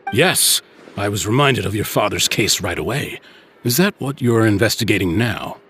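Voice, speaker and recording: Genial middle-aged uncle, animated delivery. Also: male voice, fairly low pitch, moderate pace, a little hiss or hum. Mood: grave, protective. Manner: courteous, theatrical. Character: genial middle-aged uncle, wealthy guild leader, courteous and protective. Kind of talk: video game voice acting.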